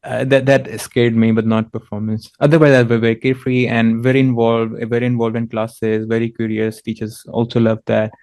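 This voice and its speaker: A man's voice: medium pitch, little pitch movement, a little fast, few pauses, a little mellow, no echo. Guy on a panel, mid voice, kinda hesitant, lots of likes and ums, dry sound. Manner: hesitant, filler-heavy, candid. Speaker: panel guest. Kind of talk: casual reflective conversation.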